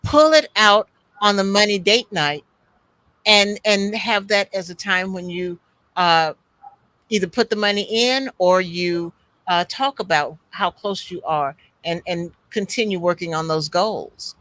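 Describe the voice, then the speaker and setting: A man's voice: high voice, unhurried, pushed and bright, no echo. A man co-hosting a live-stream talk show, explaining tips in an encouraging way. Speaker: co-host.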